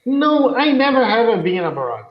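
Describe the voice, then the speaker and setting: A man from Brazil with a Brazilian Portuguese accent, speaking halting learner English with many fillers in an online lesson. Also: extremely high pitch, very animated, echoey room, muffled recording. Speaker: English student.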